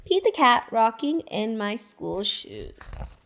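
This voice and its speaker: Woman librarian for a kids' read-aloud, high and very animated, unhurried pace. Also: slight background noise. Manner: slow, expressive storytelling that asks the listener questions. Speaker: teacher librarian. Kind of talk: reading a picture book aloud to children.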